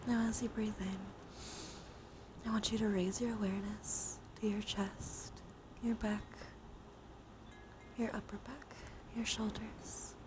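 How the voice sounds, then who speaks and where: A woman leading a guided ASMR relaxation, speaking softly and mostly in whispers, with a soothing, gentle manner. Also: mid-range voice, flat delivery, slow pace, long pauses. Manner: soft, mostly whispered delivery. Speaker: live streamer leading relaxation exercises. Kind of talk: ASMR guided breathing and body scan with chat asides. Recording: clear background noise.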